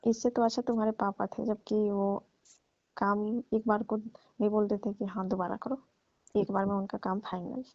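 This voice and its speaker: A woman's voice: mid-range voice, fairly even intonation, normal speed, darkish tone, dry recording, lightly acted. A woman playing a tired, aggrieved housemaid aunty in a comic phone-call skit, grumbling reproachfully in a familiar way. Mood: weary, complaining. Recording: muffled lo-fi dry phone call recording.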